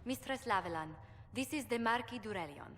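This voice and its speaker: A woman's voice: fairly high pitch, expressive, fairly quick, fairly bright tone, big-room sound. A woman voicing a diplomatic, poised ambassador in a video game, courteous and formal. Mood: courteous, persuasive. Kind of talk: dramatic video game voice acting.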